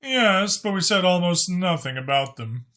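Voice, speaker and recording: A man voicing a dignified male dragon in an audiobook, speaking in a measured, guarded and formal way. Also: high-pitched, expressive, pushed and bright, voice pushed up. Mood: earnest. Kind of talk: audiobook character acting.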